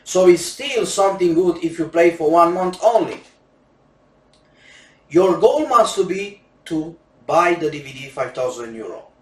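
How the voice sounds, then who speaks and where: A man with a Romanian accent, a native Romanian speaker using broken English, giving a slow, emphatic sales pitch for roulette courses. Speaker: roulette instructor selling courses. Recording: echoey room, distant mic.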